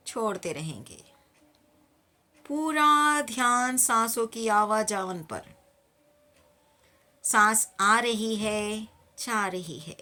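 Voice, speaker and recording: A woman leads a guided meditation in a fairly high, bright voice. Her delivery is very slow and calm, with soothing instructions that she repeats as she goes. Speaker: meditation teacher.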